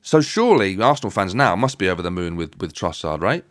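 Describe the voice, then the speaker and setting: Guy doing a football podcast, lowish voice, really dry studio sound, just chatting. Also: big pitch swings, fast talker, no room sound. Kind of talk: casual football discussion.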